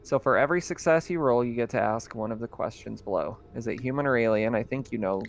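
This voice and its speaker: A man's voice: mid-range voice, fast talker, gravelly, a little hiss or hum. A man narrating as game master for a tabletop roleplaying game, describing scenes with many fillers and reading out rules.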